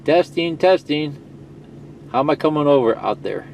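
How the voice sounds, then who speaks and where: A man's voice: a little high, expressive, normal speed. A man giving a casual, unscripted how-to demonstration in a folksy, reassuring way, often checking in with small tags like "okay". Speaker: presenter of a CB radio how-to video. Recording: clearly noisy, with static and hum. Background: CB radio static hiss.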